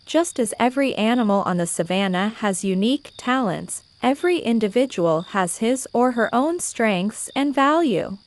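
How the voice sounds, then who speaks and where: A woman reading a scripted bedtime story for children as the storyteller. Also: a little high. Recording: faint insects and birdsong behind. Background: crickets, birds, nature ambience.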